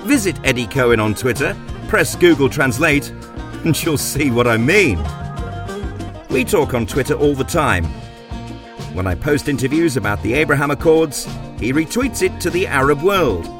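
A man from England hosting a podcast, reading scripted narration and interviewing a guest in a measured, emphatic way. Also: medium pitch, big pitch swings, music in the background. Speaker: podcast host.

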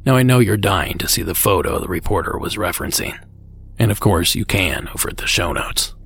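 A man hosting a podcast, reading his script in a low-key, measured way. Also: expressive, gravelly, fairly bright tone, husky. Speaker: podcast host.

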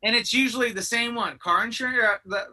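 A man's voice: very high-pitched, fast, pushed and bright, distant mic. A man talking as a podcast guest in loose, rambling casual chat, full of restarts and the odd curse. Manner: rambling, lots of restarts and swearing.

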